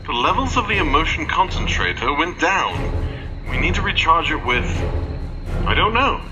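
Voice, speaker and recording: A man's voice: a little high, very animated, normal speed, muffled recording, music in the background. A man playing a bossy alien captain who poses as a pop band frontman in an audio drama. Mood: commanding. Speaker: actor in amateur audio drama. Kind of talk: theatrical audio drama acting.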